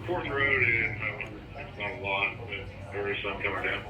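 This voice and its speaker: A man's voice: lowish voice, normal speed, crowd noise. A man giving an unscripted field report over two-way radio as a weather spotter. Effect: two-way radio transmission. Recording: noisy, echoey, distant radio pickup.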